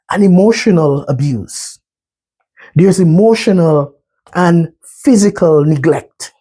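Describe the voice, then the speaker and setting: A Jamaican-accented man speaking slowly and earnestly through a slide talk. His voice is mellow and a little husky, and he keeps checking in with his listeners. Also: high-pitched. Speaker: mental health speaker.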